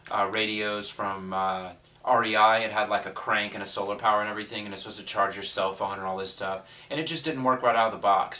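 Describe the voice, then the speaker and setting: Casting: American male amateur reviewer, lowish bright voice, informal and explanatory, recorded a little off-mic. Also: recorded from a distance. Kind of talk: unscripted product review talk.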